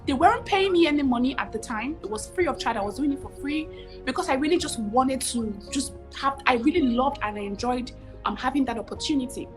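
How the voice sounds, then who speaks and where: High-voiced Nigerian woman telling her story. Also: fairly quick, over music. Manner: expressive, gestures a lot, repeats phrases for emphasis. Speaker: guest, cooking show host. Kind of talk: animated storytelling interview. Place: home room with curtains, video call.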